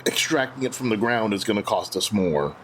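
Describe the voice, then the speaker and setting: A man's voice: fairly low pitch, animated, brisk, a little hiss or hum. A man discussing plans casually as a player in a tabletop roleplaying game.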